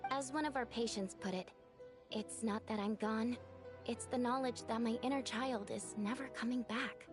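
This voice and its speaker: A woman voicing a measured, analytical female doctor in a video game. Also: on the high side, over music, close to an everyday voice. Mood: serious. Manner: measured and clinical.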